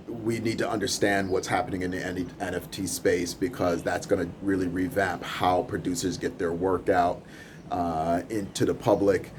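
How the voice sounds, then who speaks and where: A man's voice: low voice, a little hiss or hum. A man, a music producer and podcast host, talking off the cuff in a casual, rambling vlog from his apartment with a camera in hand. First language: English. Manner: rambling, relaxed, laughs between thoughts. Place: apartment in a big city, filming out over rooftops.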